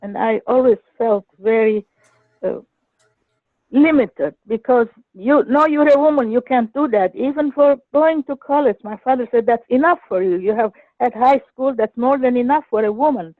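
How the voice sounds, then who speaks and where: A woman's voice: fairly high pitch, normal speed, no room sound. Older woman on a dry tinny phone line. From Egypt. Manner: earnest, hesitant with restarts. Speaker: caller. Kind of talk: phone-in sharing a personal story. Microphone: telephone.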